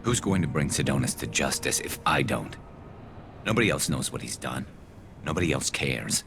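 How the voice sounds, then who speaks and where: Very deep, gravelly vengeful alien soldier. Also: male voice, animated, moderate pace, music in the background, heavily acted. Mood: bitter, defensive. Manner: clipped, grim, sardonic. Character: vengeful, embittered male alien soldier, weary and hard-edged. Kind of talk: video game dramatic dialogue.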